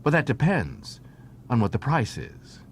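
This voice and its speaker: A man's voice: huge pitch swings, unhurried. A man voicing a wily, flippant female con artist with a breezy, teasing, evasive manner in an audiobook. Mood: sly, flippant. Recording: noisy track with low rumble.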